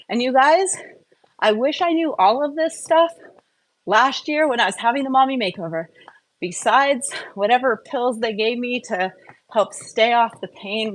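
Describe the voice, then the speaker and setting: A woman with a medium-pitched voice talks as the host of an informal live stream. She teaches in an enthusiastic, emphatic way. Her delivery is casual and chatty, with asides to the viewers. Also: rough recording.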